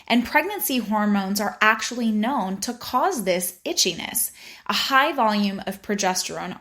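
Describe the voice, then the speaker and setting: A woman's voice: medium pitch, a bit bright. Upbeat woman with a Spanish accent. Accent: Spanish-accented English. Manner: upbeat, playful asides while explaining. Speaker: podcast host. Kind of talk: informative podcast monologue.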